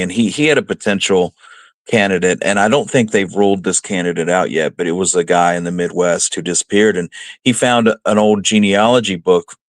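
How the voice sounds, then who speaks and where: A man with a low voice chats as a radio co-host. His talk is plain and colloquial, in an easy conversational style. The recording is dry, without audible room. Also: dry recording. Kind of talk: casual chat.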